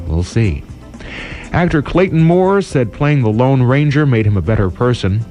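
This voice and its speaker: A man with an American accent working as a radio DJ, presenting smooth jazz and short information updates as morning host. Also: fairly low pitch, warm tone.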